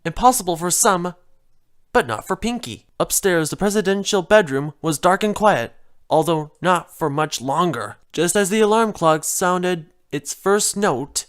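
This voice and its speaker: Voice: mid-range voice, expressive, measured pace, fairly bright tone. A narrator reading a scripted comic fan fiction story aloud.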